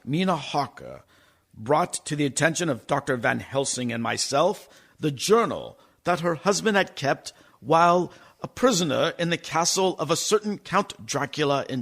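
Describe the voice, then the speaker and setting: An actor playing an earnest gentleman doctor who narrates a radio play in a formal, deliberate, theatrical manner. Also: a little high, big pitch swings, husky, heavily acted. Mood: grave, formal. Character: earnest gentleman doctor recounting his personal papers. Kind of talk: dramatic scripted radio narration.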